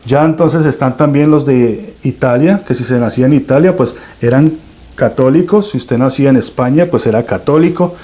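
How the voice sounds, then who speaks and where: A man's voice: medium pitch, normal speed, dark tone. A man from Colombia with a Colombian accent, preaching a sermon with emphatic swells and frequent restarts. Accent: Colombian Spanish.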